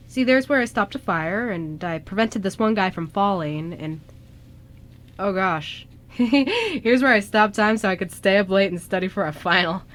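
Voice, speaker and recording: A woman's voice: medium pitch, expressive, moderate pace, fairly bright tone, lightly acted. A woman playing an anxious young male time traveler in an amateur radio drama, stammering and hesitant. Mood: bashful, pleased. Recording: noisy amateur radio show recording.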